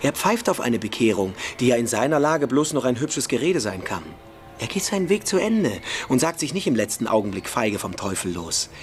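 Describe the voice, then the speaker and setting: A man's voice: mid-range voice, sing-song, brisk, faint music behind, lightly acted. A man playing a precocious schoolboy freethinker in a German radio play, emphatic, scornful and persuasive. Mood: mocking, insistent. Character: precocious, provocative schoolboy freethinker lecturing his younger friend.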